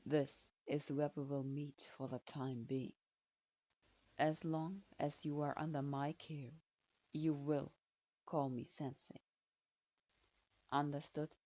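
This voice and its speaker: A harsh adult male ninja instructor barks clipped orders with cold contempt, voiced by a woman reading a story. His delivery is flat and unvarying, and the sound is dry and slightly dull. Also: low-pitched, slow pace, pauses often, dry recording, voice pushed down. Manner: curt, cold, clipped commands.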